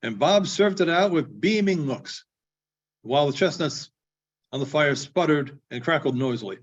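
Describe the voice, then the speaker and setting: A man reading a classic story aloud in a theatrical, deliberate manner with dramatic pauses. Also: fairly high pitch, animated. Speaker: librarian giving a public reading. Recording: dull, muffled lo-fi recording.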